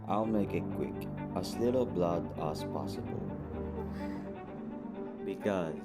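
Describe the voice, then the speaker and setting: A man's voice: deep voice, takes it slowly, loud music behind the voice. A young man playing a cold-blooded hired killer in a radio play, terse, assured and matter-of-fact. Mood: coldly businesslike. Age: young adult.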